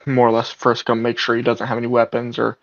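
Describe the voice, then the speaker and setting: A man with a creaky, gravelly voice talks casually through his turn in a tabletop game. His intonation is flat, and the recording sounds dull and muffled. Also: mid-range voice, fast talker.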